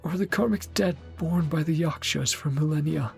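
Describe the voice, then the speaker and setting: A man's voice: a little high, normal speed, gravelly, a little mellow, faint music behind. A man streaming a video game reaction, reading dialogue aloud in clipped fragments with murmured asides.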